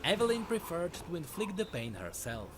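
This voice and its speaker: Animated male story narrator, higher-pitched voice. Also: normal speed, over music. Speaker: lore narrator. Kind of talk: scripted dramatic story narration.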